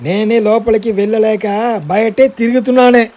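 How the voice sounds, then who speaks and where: A grumbling cartoon rickshaw driver , voiced by a man. The voice is high for a man yet dark in tone, scolding, with noise behind it. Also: fairly even intonation, moderate pace, close to an everyday voice. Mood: exasperated. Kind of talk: animated comic dialogue.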